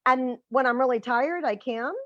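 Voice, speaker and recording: A talkative host from Central Texas with a slightly high voice. Her video-call audio sounds dry and dull. She chats and asks her guest questions in a relaxed way. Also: normal speed, no echo. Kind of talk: casual interview chat.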